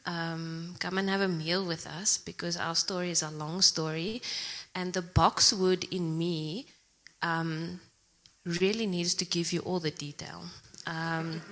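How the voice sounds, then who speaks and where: A young woman from Zimbabwe sharing a personal testimony at church, earnest and soft-spoken, with many pauses and "um"s. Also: fairly low pitch, fairly even intonation. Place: church hall. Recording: long reverb of a church hall.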